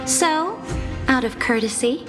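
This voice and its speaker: A woman's voice: high voice, lively intonation, slow. A woman playing a young, merciless and coolly amused tyrant empress in an anime game, with a commanding, haughty and theatrical delivery. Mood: haughty, explaining. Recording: loud music behind.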